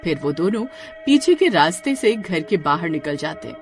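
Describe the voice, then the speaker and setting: A woman narrating a scripted horror story in a dramatic, expressive way. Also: lowish voice, normal speed, faint music behind.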